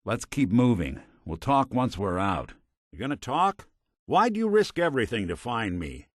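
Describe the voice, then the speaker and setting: An actor playing a weary, wry but kind synth private detective in a video game, with an American accent and a folksy old-time gumshoe delivery. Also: male voice, mid-range voice, very animated, moderate pace, no echo. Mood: casual, wry, then wry, reflective. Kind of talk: noir detective game dialogue.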